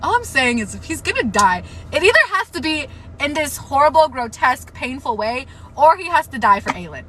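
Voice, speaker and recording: A young woman chatting casually on a live stream about books, frank and swearing freely, her voice a bit hoarse from overuse. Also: high-pitched, very animated, brisk, background noise. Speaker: book livestreamer.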